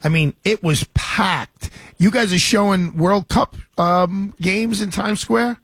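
A man's voice: high voice, takes it slowly, a bit bright, no echo. A man hosting a radio talk show, chatting energetically with frequent restarts.

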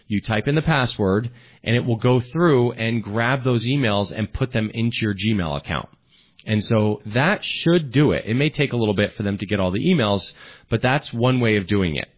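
A man hosting a call-in tech radio show, chatting with callers and explaining computer steps one at a time. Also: lowish voice. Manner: chatty, friendly, explains step by step. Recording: dull lo-fi broadcast audio.

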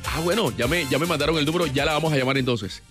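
A man hosting a late-night radio show, talking in an animated, upbeat way and now and then singing short lines. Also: a little high, fast talker, music in the background. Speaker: radio host. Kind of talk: lively radio presenting. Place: radio studio.

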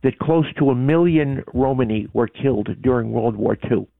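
A man's voice: mid-range voice, moderate pace, vocal fry, no room sound. A man speaking as a guest in a casual conversation, explaining things in an informative way. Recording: tinny phone line.

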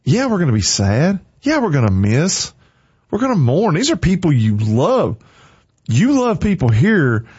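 A man's voice: a little high, huge pitch swings, a little slow, darkish tone, slightly rough, no echo. Male morning radio host, preachy and fervent, dry close studio sound. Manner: fervent, preachy, repeats short commands. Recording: dry, slightly muffled studio.

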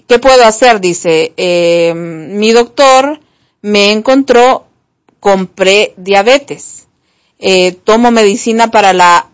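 A woman hosting a live broadcast, presenting and reading out messages from the audience. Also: medium pitch. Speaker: host reading viewer messages.

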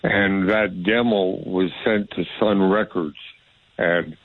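Deep-voiced male caller on a tinny phone line. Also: steady tone, dry recording. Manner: slow, hesitant telling with many fillers. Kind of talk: phoned-in radio call.

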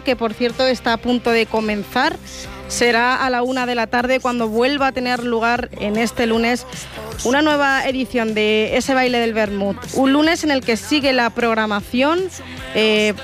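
A woman radio presenter reading out the local festival schedule in a steady, informative way. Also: on the high side. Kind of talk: news announcements.

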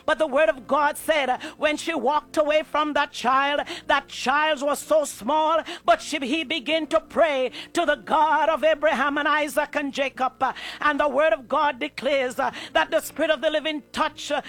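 A man's voice: very high-pitched, creaky, pushed and bright. A male preacher delivering a passionate sermon and prayer, punctuating his phrases with frequent "uh" fillers.

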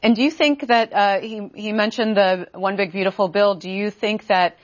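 A woman hosting a radio call-in show, asking questions in a brisk, conversational way. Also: medium pitch, fairly even intonation, highs cut off. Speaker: radio host.

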